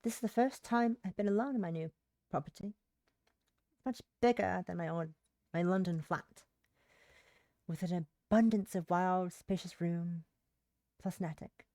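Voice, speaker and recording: A woman's voice: fairly low pitch, normal speed, pauses often, mellow, dry recording. Woman streamer reading a visual novel aloud. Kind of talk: game playthrough read aloud with casual comments.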